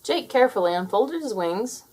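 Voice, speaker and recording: A woman reading a children's story aloud as an expressive storyteller, in scripted narration. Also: on the high side, normal speed, a little hiss or hum.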